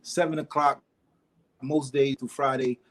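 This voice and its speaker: A man's voice: medium pitch, flat delivery, measured pace, a little hiss or hum, low-quality recording. Laid-back man in his forties. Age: 40s. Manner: laid-back, warm slang, playful. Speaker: guest, podcast co-host. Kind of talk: casual live-stream chat.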